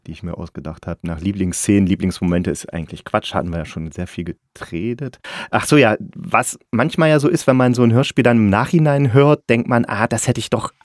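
A man with a fairly low voice hosts an interview in a relaxed conversational register. He talks informally and colloquially, and the recording is dry, with hardly any room sound. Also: no room sound. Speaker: interviewer and podcast host.

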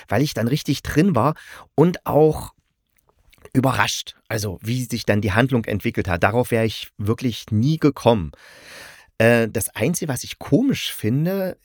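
A man's voice: mid-range voice, dry recording, clean studio sound. A man giving an informal, opinionated solo review as a podcast host, speaking conversationally and directly to his listeners.

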